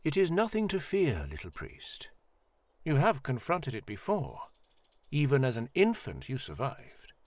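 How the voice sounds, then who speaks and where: A man acts out an aged high priest of a fantasy audio drama. The voice swings widely in pitch, solemn and kindly, with formal phrasing and gentle command. The sound is close and dry. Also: huge pitch swings, no echo. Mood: kindly, reassuring. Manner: formal, measured, reassuring.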